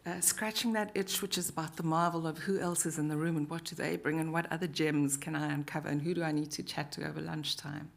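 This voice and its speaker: South African woman with a low, gravelly voice, running a workshop at a live event. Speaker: workshop facilitator. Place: university meeting room.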